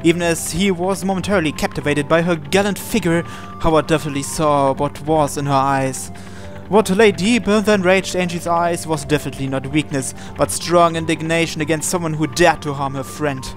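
A man with a fairly high voice reads story narration from a game aloud, slipping into casual asides as a channel host. Game music plays behind him. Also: brisk.

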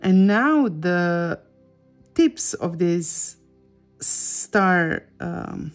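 A woman's voice: on the low side, expressive, drawn-out words, faint music behind. A middle-aged woman with a Croatian accent, a native Croatian speaker, giving a casual drawing tutorial and often searching for words. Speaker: drawing teacher and host.

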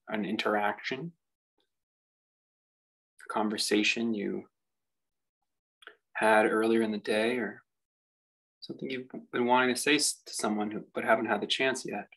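Male meditation guide, gravelly and husky, contemplative delivery with lots of space between phrases. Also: on the low side, a bit bright, rough recording. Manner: unhurried, reflective delivery with long pauses. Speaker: meditation teacher.

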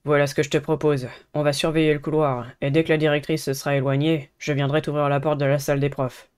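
A man voicing a polite, earnest teenage schoolboy in a dating game, measured. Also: fairly high pitch, level tone, smooth, close to an everyday voice. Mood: calm, earnest.

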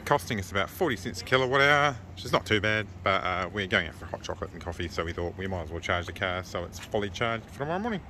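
A man with a New Zealand accent casually narrating an electric car road trip, relaxed and full of filler words. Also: on the low side. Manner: relaxed, chatty, many uh fillers. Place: inside a moving car and outdoors at chargers. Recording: noisy car and street background.

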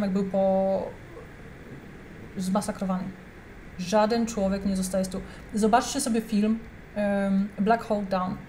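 A woman's voice: medium pitch, warm tone, a little hiss or hum. A young adult woman giving heated, emphatic reaction commentary, talking straight to her viewers. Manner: indignant, emphatic, addresses viewers directly.

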